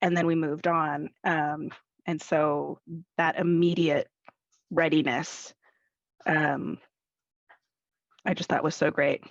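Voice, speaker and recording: A woman speaks as an actor in a casual interview, in a dry, slightly lo-fi recording. She pauses hesitantly, then lands on words with emphasis. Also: low voice, level tone, creaky, dry recording.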